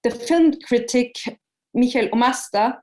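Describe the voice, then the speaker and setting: A woman presenting an academic seminar lecture, speaking in a measured way with frequent pauses and hesitations. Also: fairly high pitch, a little mellow.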